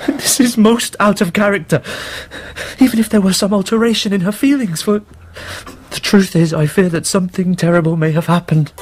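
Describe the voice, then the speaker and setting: A high male voice, an earnest young gentleman in a radio drama. He speaks with courteous formality and a hesitant edge. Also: fairly quick. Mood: anxious.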